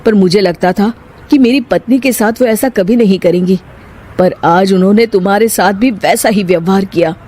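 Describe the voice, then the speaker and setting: A woman voicing a gentle, placating young husband in a cartoon story. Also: medium pitch, normal speed, lightly acted. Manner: soft, placating.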